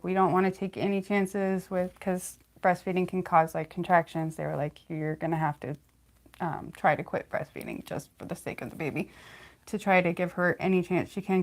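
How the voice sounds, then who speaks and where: A young woman with a slight lisp, chatting casually on a livestream, halting often with fillers and restarts. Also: on the low side. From California, United States. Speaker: livestream host.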